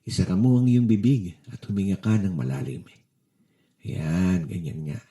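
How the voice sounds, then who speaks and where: A man's voice: sits low, moderate pace, warm tone. A man with a Filipino accent playing a friendly, joking children's doctor in a storybook. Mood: attentive. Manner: cheerful, reassuring.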